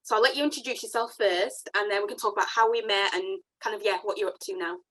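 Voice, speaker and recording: A woman's voice: medium pitch, fairly even intonation, fast, a bit bright, poor audio. A woman hosting a casual podcast, speaking with enthusiasm and plenty of loose fillers. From African family, raised in the UK. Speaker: podcast host.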